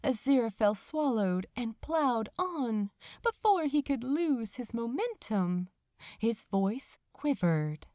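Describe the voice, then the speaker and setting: A woman narrating fan fiction as a dramatic audiobook, with deliberate phrasing. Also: on the high side, big pitch swings, dark tone, no echo, clean studio sound.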